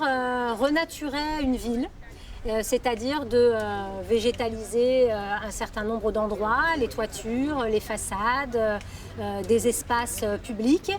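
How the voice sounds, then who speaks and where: A woman working as a council project officer, explaining an outdoor environmental event with frequent hesitations and fillers. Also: a little high.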